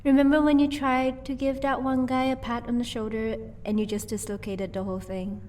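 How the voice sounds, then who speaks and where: Playful female vampire spouse, voiced by a woman, audio roleplay: higher pitch, dark mellow tone, gentle teasing manner. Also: flat delivery, a little fast, distant mic, a little hiss or hum, natural voice. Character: sweet, playful female vampire, doting wife.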